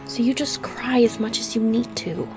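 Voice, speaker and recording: A woman playing a gentle, nurturing friend in an audio roleplay, speaking tenderly and reassuringly. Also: on the high side, normal speed, creaky, music in the background. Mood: gently reassuring. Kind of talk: comforting audio roleplay acting.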